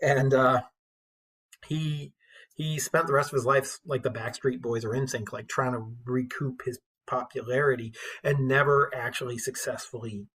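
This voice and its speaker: Husky-voiced man teaching English literature. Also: medium pitch, fairly even intonation, normal speed, fairly bright tone. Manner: enthusiastic, colloquial storyteller. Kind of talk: informal classroom lecture with storytelling.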